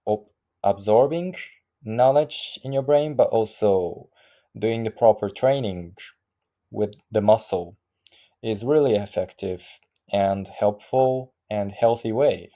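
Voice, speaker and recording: A man in his thirties with a Japanese accent, talking alone to the camera in English, halting and often restarting as he searches for words. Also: on the low side, warm tone. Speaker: vlogger practicing English. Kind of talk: casual solo monologue.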